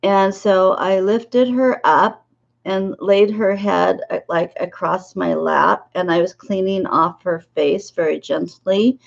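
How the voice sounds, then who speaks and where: A woman in her fifties, hoarse and losing her voice, talking haltingly about her dream visions as a psychic in a casual monologue to camera. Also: mid-range voice, steady tone, highs cut off. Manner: halting, with many filler pauses.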